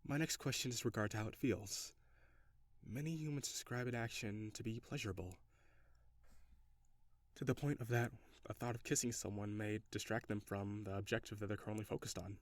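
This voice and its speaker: A reserved, curious male alien, played by a man in an intimate audio roleplay. His voice sits fairly low and his wording is formal and precise, as if studying humans. The sound is dry, with little room around it. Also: fairly quick, no room sound, natural voice. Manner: stiff, formal, precise phrasing. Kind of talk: intimate scripted audio roleplay addressed to the listener.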